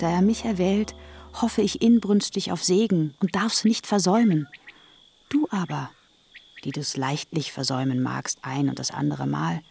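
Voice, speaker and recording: A woman's voice: fairly low pitch, creaky, a little mellow. An actress playing Rachel, a young barren woman pleading earnestly with her sister in a radio play.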